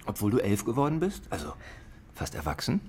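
An actor playing an easygoing, teasing father with a husky voice in a German children's radio play. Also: fairly low pitch, wildly swooping pitch, brisk, darkish tone, heavily acted.

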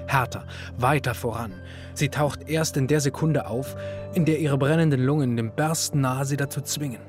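Ein Schauspieler als ernster Erzähler eines psychologischen Hörspiels, der literarisch und gemessen spricht. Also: male voice, medium pitch, lightly acted. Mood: intense, measured. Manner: measured, literary, serious. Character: grave male narrator of a psychological radio drama. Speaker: narrator. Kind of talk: literary radio drama narration. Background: music.

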